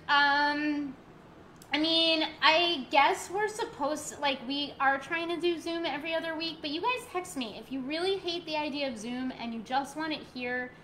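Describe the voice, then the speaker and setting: Yoga teacher lady on her laptop mic at home, bright clear voice, chatty and warm with her class, faint room hiss. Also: high voice. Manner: soft, slow guided cues, then bubbly rambling chat. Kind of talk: live-streamed yoga class, chatting with viewers. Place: living room at home, indoors. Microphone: laptop mic, webcam framing.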